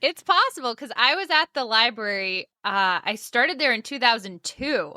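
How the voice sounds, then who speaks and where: Bright, gushing woman co-host. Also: fairly high pitch, expressive, normal speed, no echo, clean studio sound. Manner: gushing, filler-heavy with frequent "like". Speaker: podcast co-host. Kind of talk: casual podcast conversation.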